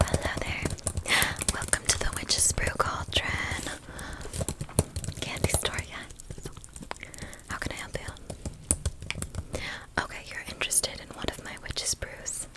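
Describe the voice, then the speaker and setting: A woman plays a kindly, whimsical witch who keeps a candy and potion shop in an ASMR roleplay. She whispers breathily and very slowly, with a gentle, hypnotic way of repeating phrases. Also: close to an everyday voice, poor audio.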